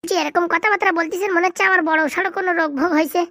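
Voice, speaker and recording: A woman's voice: extremely high pitch, fairly even intonation, very fast, sharp tone, dry recording, clean studio sound, exaggerated voice. A woman playing a cheeky, impatient male hospital patient in a comedy cartoon, wheedling and chatty.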